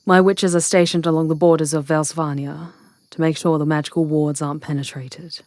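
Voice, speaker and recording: A woman's voice: sits low, little pitch movement, fairly quick, darkish tone, dry recording, close to an everyday voice. A woman playing a matter-of-fact witch leader allied with a hunter, talking plainly and conversationally in an ASMR fantasy roleplay. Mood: wry, businesslike. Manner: plain-spoken, conversational, explanatory.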